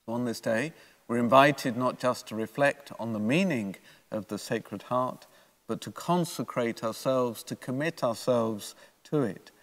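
Older priest in a reverberant church. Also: male voice, medium pitch, measured pace. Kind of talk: homily, read from prepared notes. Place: church, at a lectern. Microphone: gooseneck lectern microphone.

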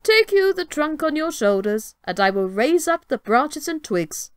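A woman's voice: sits high, very animated, no echo, voice pushed up. A woman doing a cocky little tailor. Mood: boastful. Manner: cocky, bright, quick-witted. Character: boastful clever little male tailor.

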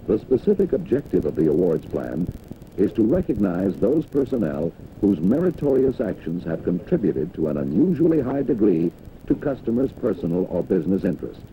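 An American man reading scripted narration for a 1960s corporate training film in a polished, measured announcer's delivery. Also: low-pitched, very animated, a little hiss or hum. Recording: dull, dated 1960s film soundtrack.

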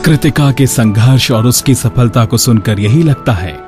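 A man's voice: mid-range voice, normal speed. A man hosting a radio show, reading scripted narration. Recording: music behind the voice. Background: background music.

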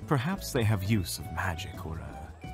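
A man playing a smug, suave, pompous gentleman schemer in an audio drama. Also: low voice, big pitch swings, normal speed, music in the background. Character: smug, self-styled charming gentleman schemer.

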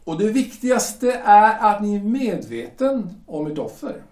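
A man playing a pompous shipyard director in a radio play, lecturing in a condescending, halting way. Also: high-pitched, very animated, a little mellow. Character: pompous middle-aged male shipyard director, party loyalist. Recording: echoey room, distant mic.

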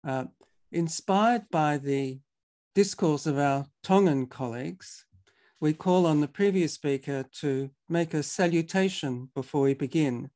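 A man hosting a video-call talk, introducing speakers in a measured, formal way with some fillers. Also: fairly high pitch, a little mellow. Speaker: webinar host. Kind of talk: moderating an online talk.

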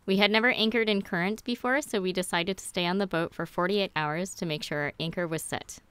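A woman's voice: on the low side, fairly quick, fairly bright tone, clean tone, no room sound. A woman vlogging from a sailboat, explaining things step by step as she thinks aloud. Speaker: sailing vlogger. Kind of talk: casual on-board explanation and narration. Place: on a sailboat deck on a windy river.

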